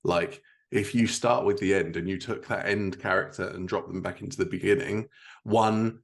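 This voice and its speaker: A man with a low-pitched voice hosts a podcast interview. He speaks conversationally, affirming points and restating them before moving on. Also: steady tone, moderate pace. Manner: affirming, reflects back the guest's points. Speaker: podcast host, writer.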